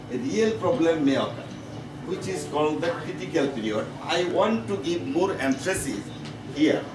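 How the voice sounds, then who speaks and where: A man with a Bangladeshi accent giving a medical conference lecture as a professor, in halting, repetitive, emphatic phrases. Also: on the high side. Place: conference meeting room. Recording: echoey, noisy room, distant microphone.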